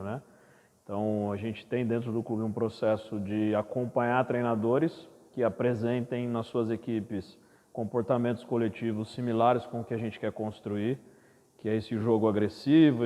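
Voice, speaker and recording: A man with a Brazilian accent, a football club executive giving measured, formal answers in an interview. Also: medium pitch, dark tone, long reverb tail. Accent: Brazilian Portuguese.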